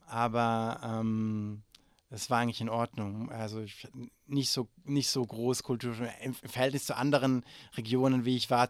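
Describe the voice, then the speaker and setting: A man's voice: mid-range voice, no echo, studio quality. A man in his forties from Germany, answering interview questions hesitantly with many fillers and restarts. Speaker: interview guest.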